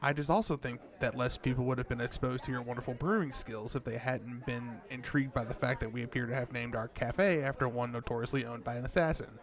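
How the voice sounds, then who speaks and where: A man's voice: mid-range voice, fast talker, few pauses, lightly acted. A man playing a worried, cautious cafe co-worker in an audio drama, speaking in an earnest, pressing way. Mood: dry, earnest.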